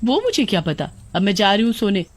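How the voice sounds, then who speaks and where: A woman voicing a farmer's demanding, easily annoyed wife in an expressive storybook manner. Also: medium pitch, fairly quick, voice pushed up.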